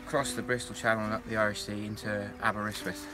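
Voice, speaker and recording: A man in his thirties chatting casually as a boat skipper, halting and thoughtful. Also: on the low side, level tone, music in the background. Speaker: boat skipper and charity founder. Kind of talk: casual on-camera chat. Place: small boat wheelhouse and deck. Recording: loud engine and outdoor noise.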